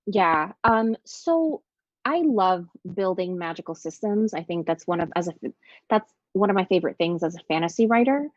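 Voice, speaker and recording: A woman's voice: lowish voice, lively intonation, normal speed, no room sound, dull audio. A woman from Ecuador speaking as an author on a panel, chatty, with many fillers and restarts. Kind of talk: casual panel discussion.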